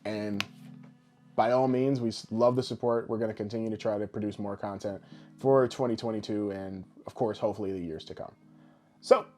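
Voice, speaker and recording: A man's voice: fairly low pitch, steady tone, a little fast, darkish tone, soft background music. A man co-hosting a podcast with casual, jokey, rambling showman patter. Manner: boisterous, rambling, tongue-in-cheek showman patter. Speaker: podcast co-host.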